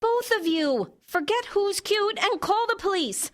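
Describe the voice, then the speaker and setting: Sharp woman reporter, high-pitched, brisk, exasperated daughter, comedic audio play. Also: animated. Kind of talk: comedic audio drama acting.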